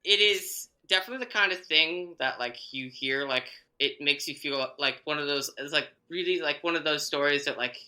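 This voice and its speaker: A man's voice: on the high side, normal speed, few pauses, bright, forceful tone. A man hosting a casual political podcast, rambling with lots of fillers. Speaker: podcast host.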